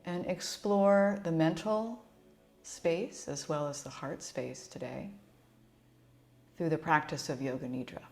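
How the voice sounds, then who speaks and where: A woman leading a guided yoga relaxation as an instructor, speaking in a soothing, gentle and unhurried way. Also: low-pitched, fairly even intonation, gravelly.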